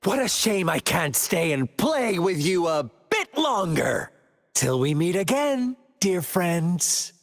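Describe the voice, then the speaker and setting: A gravelly, gleeful game villain. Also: male voice, sits high, sing-song, full character voice. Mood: taunting. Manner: slow, mocking, theatrical with cackling laughs. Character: menacing, gleeful male villain, theatrical and destructive. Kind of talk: game cutscene voice acting. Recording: long reverb in game mix.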